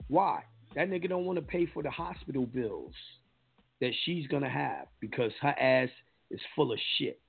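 A man's voice: medium pitch, animated, normal speed, creaky, slightly rough. A man with a New York accent hosting a talk radio show, blunt and profane in his opinions. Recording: lo-fi phone-line sound with faint music.

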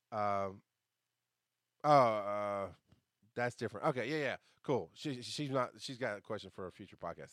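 A man with a deep, bass-heavy voice, speaking at a middling pitch into a podcast microphone. He tells a story casually, swears freely and acts out other people's lines with animation. The sound is close and dry, with no room echo. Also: a bit bright. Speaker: podcast host.

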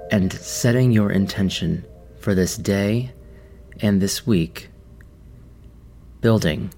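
A man leading a guided meditation in a measured, deliberate manner with long pauses. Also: low-pitched.

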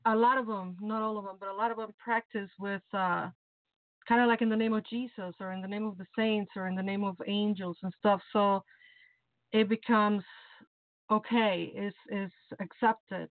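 A woman from Mexico City speaks with a Mexican Spanish accent, lively and chatty as a podcast guest. Her voice sits at a middle pitch. It arrives over a tinny, phone-like line with a dry sound. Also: moderate pace, dry recording. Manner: lively, talkative, joking. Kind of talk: casual interview talk.

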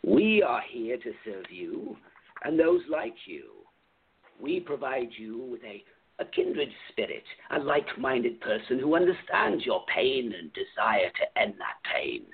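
A sinister club president in a radio drama, grandiose and menacing. His gravelly, husky voice swoops wildly between low and high as he leans on his words. The sound is thin, like a phone line. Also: big pitch swings, heavily acted. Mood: coaxing, ominous. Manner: theatrical, menacing, drawn-out emphatic delivery. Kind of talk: radio play acting.

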